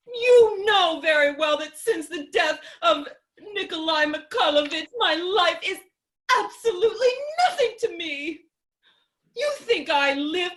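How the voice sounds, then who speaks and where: Actress as young widow, stage comedy: very high voice, unhurried, melodramatic, imperious in her grief. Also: heavily acted. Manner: theatrical, drawn-out lamenting.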